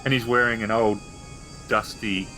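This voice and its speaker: A man's voice: on the low side, flat delivery, moderate pace, sharp tone, a little hiss or hum. A man improvising scene narration as the game master of a tabletop roleplaying game.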